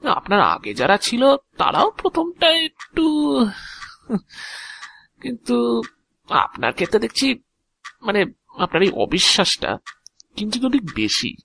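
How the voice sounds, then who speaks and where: A man playing a sly, fussy, talkative agent of death in an audio drama, unhurried and theatrical, given to muttering. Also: high voice, huge pitch swings, gravelly, a bit bright, full character voice. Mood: calm, ominous. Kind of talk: Bengali audio drama acting.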